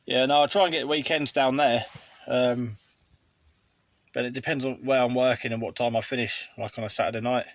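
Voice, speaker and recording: A man's voice: medium pitch, steady tone, brisk, a little hiss or hum. A British man chatting casually as a vlogger and radio hobbyist. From United Kingdom. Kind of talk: casual chat. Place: inside a parked motorhome.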